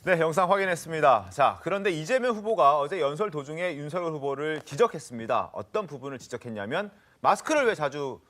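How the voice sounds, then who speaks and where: A man's voice: on the high side, expressive, a little fast, hardly any pauses, a bit bright, faint music behind. A man presenting a news talk show as its host, in a conversational broadcast style. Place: TV studio.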